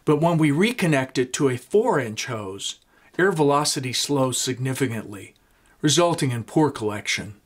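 Middle-aged man, workshop instructor, even explanatory delivery, mid-range voice. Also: medium pitch, normal speed. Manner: steady, explanatory, gestures while talking. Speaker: woodworking instructor. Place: small home workshop, indoors.

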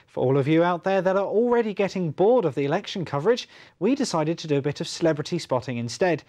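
A man's voice: fairly high pitch, expressive, fast, a little mellow, no room sound. A man narrating a news report as the reporter.

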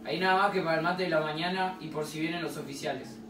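A man's voice: a little high, quick pace, close to an everyday voice. A man with an Argentine accent playing a grumpy, curt soldier guarding supplies, in stage play dialogue. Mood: irritated. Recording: echoey distant room recording, clear noise.

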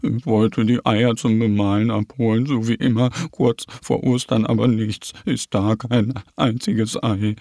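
A man's voice: lowish voice, flat delivery, unhurried, creaky, few pauses, warm tone, dry recording, studio quality. A man voicing a worried, sad father Easter hare in a children's story, plaintive and fretful. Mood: tearful, sad.

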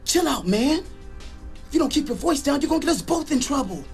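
Jittery American radio DJ, music behind. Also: male voice, extremely high pitch, animated, fairly quick, gravelly, exaggerated voice. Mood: hushed, anxious. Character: jittery, paranoid male small-town radio disc jockey. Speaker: radio disc jockey.

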